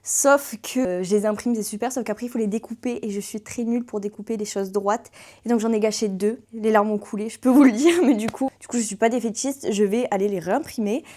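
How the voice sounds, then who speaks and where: Young adult woman, casual vlog host; mellow, relaxed delivery, rambling with filler pauses. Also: fairly high pitch. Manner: chatty, fills pauses with hesitations. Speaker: book content creator.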